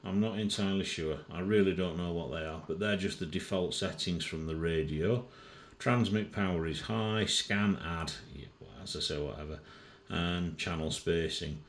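A man giving an informal software demonstration as a tutorial presenter, methodical and thinking aloud, recorded indoors at a desk. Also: low-pitched, moderate pace, few pauses, echoey room.

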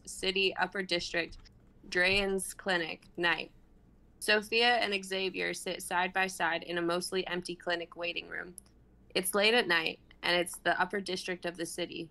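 A woman's voice: fairly low pitch, vocal fry, pushed and bright, poor audio. A woman in her twenties reading stage directions aloud as narrator in a dramatic script reading. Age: 20s. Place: home room on a group video call.

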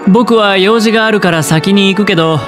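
A man voicing a suave, smug young gambler in a video game, with a smooth voice and a teasing, calculating, theatrically polite charm. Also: high voice, a little fast, loud music behind the voice, natural voice. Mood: breezy, courteous.

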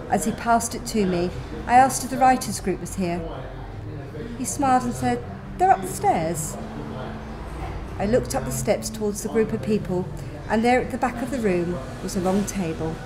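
A woman reading her own first-person story aloud in a gentle, wry manner. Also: on the high side. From England. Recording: music playing behind.

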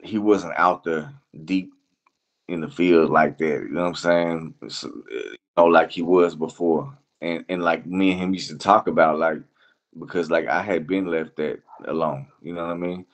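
A man with a very low voice gives a casual, reflective interview. He speaks in slang and haltingly, often circling back to repeat a phrase before moving on. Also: fairly even intonation. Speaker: music producer being interviewed.

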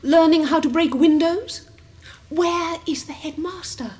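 High, curt, indignant woman. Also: a little slow, a little mellow. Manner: curt, cross. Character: indignant, brusque female newcomer teacher. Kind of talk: radio drama acting.

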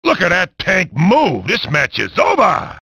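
An actor voicing a bombastic, wisecracking, gleefully violent sports announcer in a video game, with a gravelly, raspy voice and hammy delivery. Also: male voice, sits high, huge pitch swings, measured pace, pushed and bright, dry recording, exaggerated voice. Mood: wry, gloating. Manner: hammy, wisecracking, often bellowing. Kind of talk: over-the-top sports commentary for a video game.

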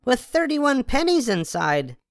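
Man putting on an eager, high-pitched little storybook girl. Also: big pitch swings, measured pace, no room sound, clean studio sound, exaggerated voice. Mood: excited.

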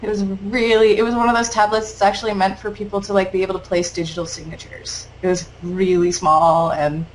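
A woman's voice: medium pitch, level tone, slight background noise, low-quality recording. Chatty young Dutch woman on webcam. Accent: American-leaning English. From Netherlands. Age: 20s. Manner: chatty, quick, frequent laughs and fillers. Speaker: guest artist. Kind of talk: casual interview conversation. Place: small home studio room, indoors. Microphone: webcam video call.

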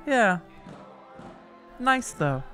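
A woman's voice: fairly high pitch, big pitch swings, extremely slow, a bit bright, soft background music, heavily acted. A woman playing a polite, slightly awkward tarot customer in an audio drama, hesitant and conciliatory. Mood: easygoing. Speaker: actor. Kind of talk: naturalistic audio drama acting.

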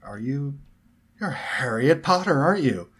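A man voicing cheeky, excitable teenage jokester boys in a story, with an animated, exclaiming delivery. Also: mid-range voice, a little fast, pitched above the performer's own voice, natural voice. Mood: awed.

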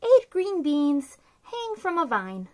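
A woman's voice: high voice, very animated, slow pace, a little mellow. A woman in her thirties hosting a children's story time, reading a picture book aloud in a bubbly, sing-song way from a small room. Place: small decorated room indoors.

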